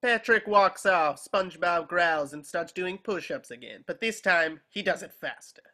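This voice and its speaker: A narrator with a bright, crisp-edged tone and mid pitch reads scripted stage directions. The delivery is that of a table reading, describing the action. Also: normal speed.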